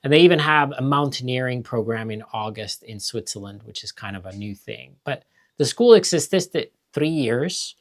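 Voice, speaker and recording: A man from Finland hosts a family vlog with his partner. He talks in relaxed, rambling chat full of fillers and asides, at an easy pace. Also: mid-range voice, moderate pace. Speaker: vlog host. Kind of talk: casual chat.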